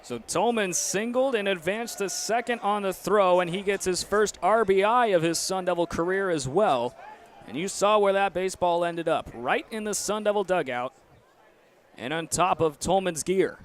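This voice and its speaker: Higher-voiced American man calling a game. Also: normal speed. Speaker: play-by-play announcer. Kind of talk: live sports play-by-play commentary. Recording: outdoor ambient noise behind commentary. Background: ballpark or arena ambience.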